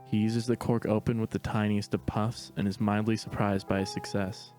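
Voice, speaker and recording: A man narrating stage directions in a steady, matter-of-fact reading voice. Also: fairly low pitch, normal speed, faint music behind. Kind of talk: plain read-aloud narration.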